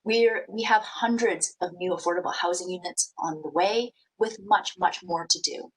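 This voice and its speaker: A woman's voice: lowish voice, moderate pace, a little hiss or hum, rough recording. A Canadian-born woman politician giving an earnest campaign speech at an online panel, steadily listing achievements.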